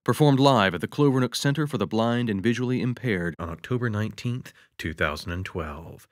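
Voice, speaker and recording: Male announcer, fairly low voice, dry close sound, scripted radio theater sign-off. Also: a little fast, dry recording.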